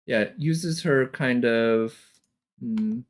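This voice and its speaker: A man's voice: medium pitch, very slow, faint music behind. A man giving casual, off-the-cuff commentary as a streamer, analytical and hesitant as he thinks aloud. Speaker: reaction streamer.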